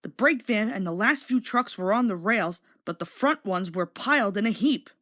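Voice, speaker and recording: A woman's voice: medium pitch, expressive, moderate pace, fairly bright tone. A woman narrating a children's storybook read-along from a script.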